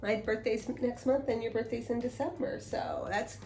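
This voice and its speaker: A woman's voice: a little high, animated, moderate pace, darkish tone. Rambling woman in her fifties, echoey room. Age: 50s. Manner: rambling, many restarts and fillers. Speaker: co-host, mother. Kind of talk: casual chat. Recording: echoey room, faint music.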